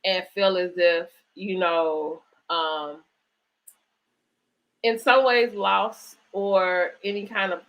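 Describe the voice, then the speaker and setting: A woman hosting a conversational interview, speaking reflectively in halting phrases and often checking in with "right?" or "you know". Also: fairly low pitch, fairly even intonation, muffled recording. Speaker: host interviewing an author. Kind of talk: conversational interview at a virtual book event.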